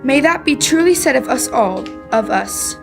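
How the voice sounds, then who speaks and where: A woman's voice: high-pitched, expressive, moderate pace, gravelly, over music. A young woman narrating a classic story in scripted old-time radio drama style. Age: teenager.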